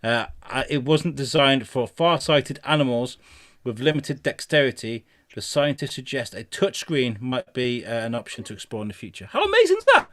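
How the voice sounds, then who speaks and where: Halting, sweary male podcast host. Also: mid-range voice, sing-song, fairly bright tone. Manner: halting read-outs, sweary asides. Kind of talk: casual comedy news chat, reading stories aloud.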